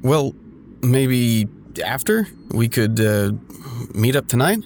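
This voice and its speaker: An awkward, eager man in an audio drama, with a lively, swinging pitch. He hesitates and stammers, trying to sound casual while scheming. Faint ambient noise sits behind the voice. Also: slow pace. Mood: awkward, hesitant. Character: awkward, scheming man chatting up an old friend. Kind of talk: naturalistic audio drama dialogue.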